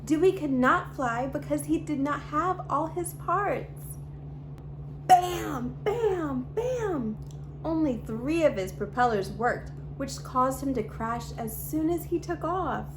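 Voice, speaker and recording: Storytime host, woman, higher voice, expressive read-aloud, steady hum under the track. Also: slow. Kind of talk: reading a picture book aloud to kids. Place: home library.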